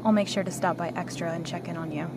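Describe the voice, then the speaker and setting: A woman's voice: on the low side, steady tone, fast. A woman playing a friendly, solicitous flight attendant in an audio drama, speaking in polite, reassuring patter. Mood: friendly, attentive. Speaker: voice actor in an audio roleplay. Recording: clear cabin noise behind the voice. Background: airplane cabin ambience.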